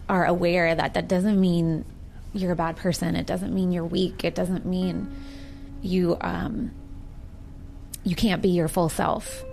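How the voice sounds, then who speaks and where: A woman's voice: mid-range voice, slight background noise. An American woman in her forties talking earnestly and reflectively in a sincere personal conversation. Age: 40s. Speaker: participant in a recorded one-on-one conversation.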